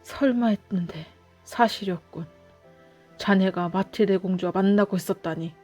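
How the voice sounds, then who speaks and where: A woman's voice: mid-range voice, normal speed, warm tone, faint music behind, lightly acted. A woman voicing a dismayed, reproachful male friend in a storybook. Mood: shocked reproach.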